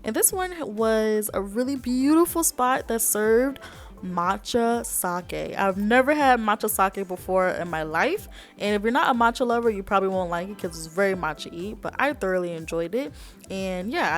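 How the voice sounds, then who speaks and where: A young woman talks through her solo trip in a relaxed, enthusiastic voice-over, sprinkling in "like" and "um". Soft music plays quietly beneath her. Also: mid-range voice, moderate pace. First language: not Japanese. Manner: chatty and enthusiastic, with frequent fillers. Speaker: vlogger. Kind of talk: travel vlog voice-over. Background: background music.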